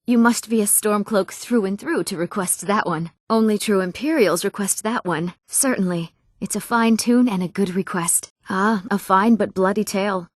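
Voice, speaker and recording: A woman's voice: medium pitch, moderate pace, few pauses, no room sound, natural voice. Eager young fantasy townswoman played by an actress, mid-range voice, earnest game dialogue, recorded dry. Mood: obliging. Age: young adult.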